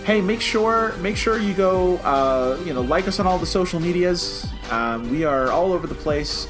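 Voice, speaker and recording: An American man co-hosting a podcast in casual, animated fan chat that slips into mock quotes. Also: sits high, moderate pace, over music. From United States. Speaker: podcast co-host.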